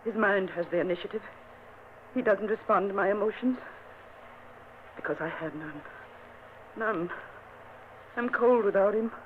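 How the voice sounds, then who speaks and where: A woman's voice: mid-range voice, unhurried, pauses often, lightly acted. An actress playing a frail, feverish young noblewoman in dread, in vintage radio drama style. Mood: pleading. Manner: breathy, pleading, intense. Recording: tinny, hissy old radio recording.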